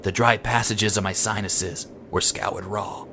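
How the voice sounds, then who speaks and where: A male amateur narrator reads scripted first-person horror fiction. His voice sits fairly low and his delivery is deliberate and dramatic. Clear ambient noise runs behind the reading. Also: steady tone.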